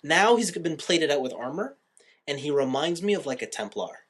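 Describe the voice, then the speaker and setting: A man's voice: on the high side, big pitch swings, normal speed, fairly bright tone. A man hosting a casual movie review podcast, giving opinions and often rewording himself as he goes. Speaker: podcast host.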